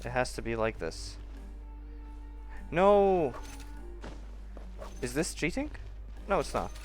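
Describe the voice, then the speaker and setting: A man's voice: medium pitch, sing-song, slow. A man streaming a game with casual commentary, muttering short reactions to himself. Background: faint game music.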